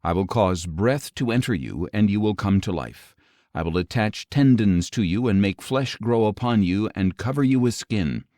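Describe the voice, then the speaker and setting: A man voicing a commanding, solemn God speaking prophecy in an audio Bible reading, grave, declamatory and measured. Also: lowish voice, lively intonation, darkish tone, no room sound, studio quality, natural voice.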